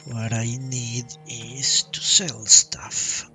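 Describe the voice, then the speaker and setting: A man's voice: medium pitch, little pitch movement, extremely slow, sharp tone, soft background music. A man giving live commentary on a game playthrough, muttering and thinking aloud into a close mic. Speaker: game streamer. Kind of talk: live playthrough commentary with read-out dialogue choices.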